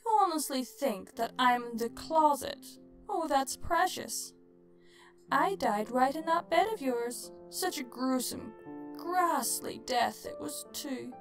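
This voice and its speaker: A woman voicing a gleefully morbid ghost in a storybook tale, with theatrical, drawn-out taunting. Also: high-pitched, animated, soft background music, pitched above the performer's own voice, exaggerated voice. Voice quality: groaning, creaky, cackling delivery.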